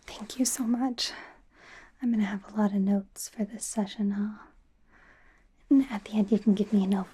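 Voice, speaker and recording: A woman voicing a bumbling, eager werewolf doctor in training in a soft breathy whisper, stammering through an ASMR exam roleplay. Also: medium pitch, natural voice. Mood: awkward, unsure. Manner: hesitant, stammering, softly flustered. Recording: faint background noise, close-up whispering.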